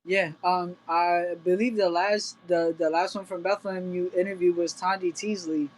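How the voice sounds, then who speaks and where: A man's voice: high-pitched, fairly even intonation, moderate pace, poor audio. A young man from Puerto Rico and the United States, a boxer giving casual, plain-spoken interview answers. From Puerto Rico until age eight, then the United States. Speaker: professional boxer, interview guest.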